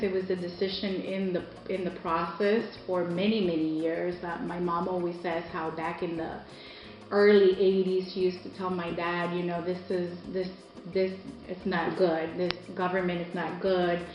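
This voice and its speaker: Low-voiced woman in a roomy recording. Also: flat delivery, measured pace, lo-fi sound. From Cuba. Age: about 40. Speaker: interviewee. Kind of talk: personal interview, recounting life story. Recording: roomy echo, music behind.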